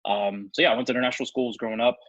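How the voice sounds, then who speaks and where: A man from Ethiopia, a guest on an interview podcast, talking quickly and casually. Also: mid-range voice, steady tone, a bit bright, no echo, muffled recording. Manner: fast, fluent talker with many fillers and restarts.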